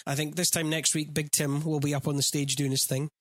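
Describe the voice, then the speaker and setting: A man's voice: a little high, level tone, brisk, no room sound, studio quality. A man with a mild Scottish accent hosting a casual tech podcast, steering the talk with a lot of ums and uhs. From Scotland. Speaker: podcast host.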